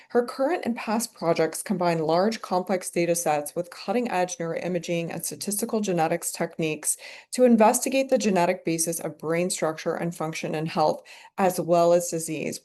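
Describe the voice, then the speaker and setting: A woman's voice: on the low side, warm tone. A woman hosting a seminar, giving an enthusiastic, formal and partly read speaker introduction.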